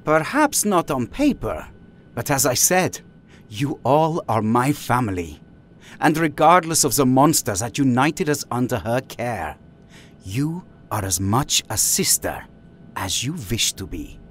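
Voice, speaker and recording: Man acting a slow, gentle mutant hero. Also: medium pitch, sing-song, a little hiss or hum. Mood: earnest. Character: gentle, apologetic mutant man, loyal to family.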